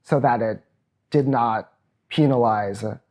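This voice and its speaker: A man's voice: medium pitch, measured pace, darkish tone. A man in his thirties from Trinidad and Tobago, a programs director giving a reflective, earnest personal testimony at a virtual event. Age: 30s.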